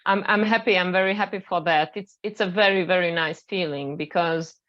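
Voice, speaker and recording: A woman from Romania hosting a live online interview, often pausing and restarting her sentences. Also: on the low side, fairly even intonation, muffled recording. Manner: hesitant, restarts phrases often. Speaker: interview host. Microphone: video call.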